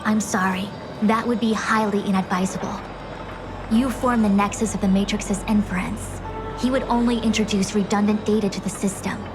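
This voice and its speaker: A woman's voice: medium pitch, fairly even intonation, normal speed, over music, natural voice. A woman voicing a composed, authoritative female diviner in a video game, speaking formally and precisely. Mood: cautioning, calm.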